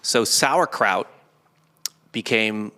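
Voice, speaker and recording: Bright-voiced man explaining history casually. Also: fairly low pitch, drawn-out words, clear, resonant tone. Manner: explanatory, joking, informal with swearing. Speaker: podcast host. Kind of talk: casual comedic history chat.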